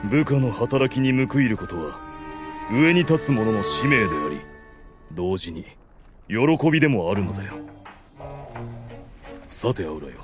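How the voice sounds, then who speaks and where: A male actor playing a solemn, benevolent undead ruler in an anime audio drama, speaking in grand, formal, measured phrases. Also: on the low side, sing-song, over music. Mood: earnest, magnanimous.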